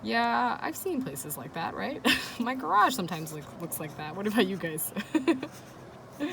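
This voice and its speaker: Woman, teacher read-aloud, casual and encouraging, mid-pitched voice, noticeable ambient noise. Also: very animated, moderate pace, creaky, a bit bright. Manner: encouraging, asks the listeners questions. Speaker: teacher reading aloud to pupils. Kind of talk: children's chapter-book read-aloud with casual asides. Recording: noisy background, kids playing nearby. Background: ambient noise of children playing.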